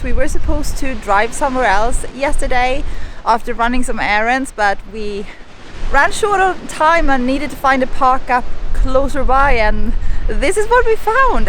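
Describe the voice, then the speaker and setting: A woman's voice: sits high, normal speed, low-quality recording. A young Swedish woman, not a native English speaker, hosting a casual travel vlog outdoors by the sea in the wind, chatty and upbeat. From Sweden. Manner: chatty, upbeat, gets excited about views. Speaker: vlogger host. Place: outdoors by the sea, in windy weather.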